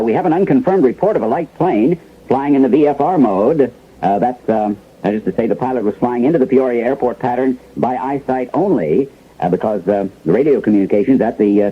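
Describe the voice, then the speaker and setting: Man playing a halting, husky radio news anchor. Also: medium pitch, natural voice. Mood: flustered, grave. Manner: halting, hesitant, groping for words. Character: flustered male newsroom anchor handling an emergency. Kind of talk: mock live newscast, 1970s radio drama. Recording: tinny, phone-like old radio broadcast.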